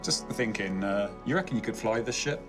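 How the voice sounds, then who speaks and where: A man's voice: lowish voice, expressive, a little fast, over music. A man playing a tabletop roleplaying game, making casual in-character small talk.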